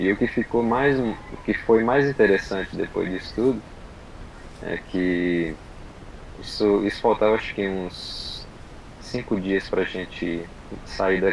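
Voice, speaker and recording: A man from Brazil telling a personal story on a podcast, in unhurried, halting casual chat full of fillers and restarts. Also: low voice, fairly even intonation, vocal fry, a little hiss or hum, poor audio. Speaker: podcast guest.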